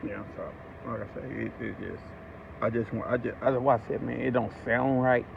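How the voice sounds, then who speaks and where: A man's voice: mid-range voice, fairly even intonation, normal speed, creaky. A man in casual conversation, talking in an insistent, repetitive way. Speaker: resident recording a talk with police. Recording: tinny phone-like audio, vehicle noise.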